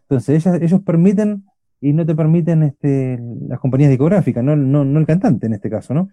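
Dark-voiced Argentine man, rambling. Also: a little high, a little fast, no echo. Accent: Argentine Spanish. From Argentina, Buenos Aires. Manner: rambling, many restarts and fillers. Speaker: radio show host. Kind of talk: casual chat.

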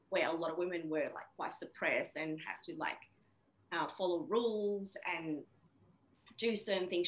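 A woman from Vietnam, Vietnamese her first language, speaking reflectively as an interview guest with many pauses and fillers. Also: lowish voice, fairly bright tone, low-quality recording. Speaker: interview guest, women's coach. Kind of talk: casual interview.

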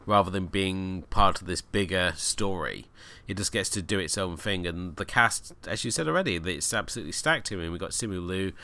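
A man with a very low voice that still sounds bright and forward. He talks about a film in an easy, enthusiastic, conversational way. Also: fairly quick. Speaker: film review co-host. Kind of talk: casual chat.

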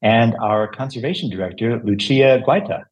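A man hosting a podcast, introducing his guests and asking questions in a relaxed way with fillers. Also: mid-range voice, normal speed. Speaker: podcast host. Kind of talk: interview questions.

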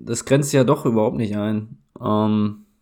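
Slow, gravelly German man. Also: on the low side, fairly even intonation, warm tone. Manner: slow, hesitant, thinks aloud with many fillers. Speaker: guessing game player. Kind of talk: casual guessing game chat.

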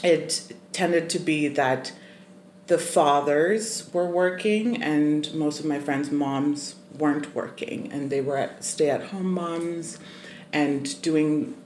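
A young adult guest from Zimbabwe, telling a personal story in a thoughtful, measured way with many pauses and ums. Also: mid-range voice. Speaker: podcast guest. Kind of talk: casual interview storytelling. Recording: roomy echoey room, faint noise.

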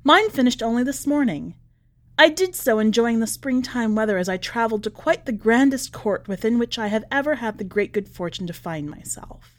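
A woman's voice: a little high, animated, normal speed, lightly acted. An imprisoned letter writer, played by a woman narrator, genteel and over-polite with a brittle, ironic cheer. The voice swoops through ornate sentences in a dramatic story reading. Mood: falsely cheerful.

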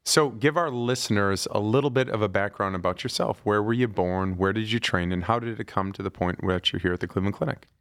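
A man's voice: fairly low pitch, fast talker, few pauses, a bit bright. A middle-aged man hosting a podcast, asking questions in a friendly, upbeat way. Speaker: podcast host. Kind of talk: podcast interview.

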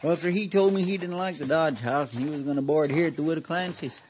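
An actor playing an eager, deferential deputy sidekick with a drawling rural Western American accent in a radio western. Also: male voice, fairly high pitch, fast, a little mellow, close to an everyday voice. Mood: earnest, alert. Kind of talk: 1950s radio western acting. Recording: muffled, noisy old radio recording.

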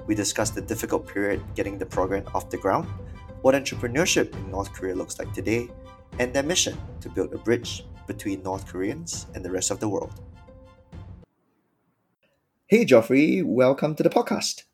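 A man's voice: on the low side, lively intonation, normal speed, soft background music. A man hosting a podcast, reading an upbeat intro and then asking friendly questions. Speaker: podcast host.

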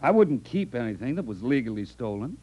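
An actor in a 1950s radio comedy playing an easygoing village carpenter, folksy and laconic. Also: male voice, mid-range voice, very animated, brisk, a little mellow, breathy edge, highs cut off. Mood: matter-of-fact.

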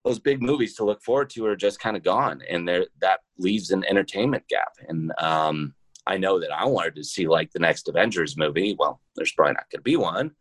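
Male podcast guest, low register, dry close sound. Unscripted, halting delivery with repeated phrases. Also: fairly bright tone, husky, no echo. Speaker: podcast guest, creator of a role-playing show. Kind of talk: casual interview answers.

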